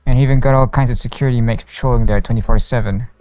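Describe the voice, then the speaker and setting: A male streamer with a mellow, medium-pitched voice reads game text aloud. He stumbles over words now and then, in a roomy space with faint music behind. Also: fairly even intonation, racing. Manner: stumbles while reading aloud. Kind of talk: reading game dialogue and narration aloud on a livestream.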